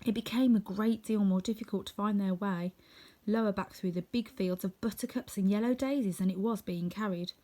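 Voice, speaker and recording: A woman narrating a children's storybook in an expressive, scripted reading. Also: medium pitch, brisk. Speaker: storybook reader.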